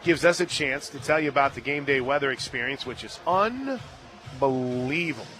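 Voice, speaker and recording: A man calling a live softball game on radio, play by play, brisk and rising to excited shouts on big plays. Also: medium pitch, soft background music, poor audio. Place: stadium radio booth.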